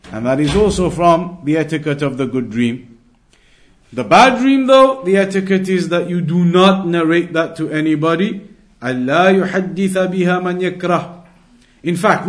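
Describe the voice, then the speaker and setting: Man teaching a religious lesson, higher-pitched voice. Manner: didactic, repeats key phrases for emphasis. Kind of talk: religious lesson, explaining point by point.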